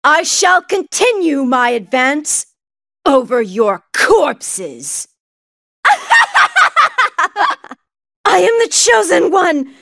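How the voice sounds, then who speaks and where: A woman's voice: very high voice, wildly swooping pitch, extremely slow, vocal fry, sharp tone, dry recording, clean studio sound, exaggerated voice. A woman voicing a zealous, righteous female knight in a video game, fervent and formal in her declamation. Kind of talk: video game villain acting.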